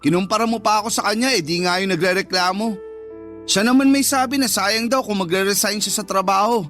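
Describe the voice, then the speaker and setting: Lazy annoyed little brother, whiny comebacks, radio drama, bit of music underneath. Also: male voice, sits high, fairly quick, a bit bright. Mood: defensive. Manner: whiny, irritated. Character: lazy, sulky, defiant younger brother, married mobile gamer. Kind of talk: Filipino radio drama dialogue.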